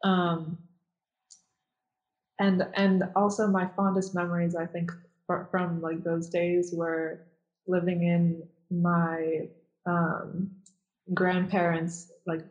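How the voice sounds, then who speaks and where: A woman's voice: on the low side, flat delivery, slow pace, long pauses, highs cut off. A young woman from Nazareth, a guest in a casual interview, talking hesitantly with many fillers and restarts. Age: young adult.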